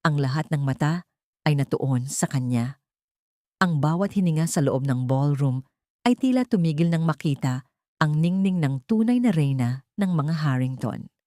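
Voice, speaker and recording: A woman with a low voice narrates dramatic fiction. Her delivery is deliberate and theatrical, with melody that swings widely to build suspense, in a very dry, close sound. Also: expressive, warm tone, no room sound. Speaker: narrator of an audio story. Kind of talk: dramatic scripted storytelling narration.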